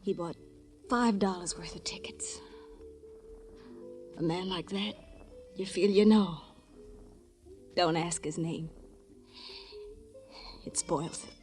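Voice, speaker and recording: An actress playing a dying dance-hall girl, wistful and resigned, breathy and halting in 1950s radio noir style. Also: on the low side, darkish tone. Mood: faint, wistful. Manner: halting, languid, weak. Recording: old radio recording, faint music.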